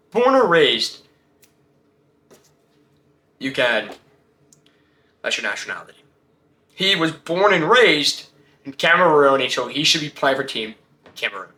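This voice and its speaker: A man's voice: on the high side, bright, forceful tone, reverberant. A man hosting a casual sports talk show, giving blunt, slangy opinions and firing off rhetorical questions.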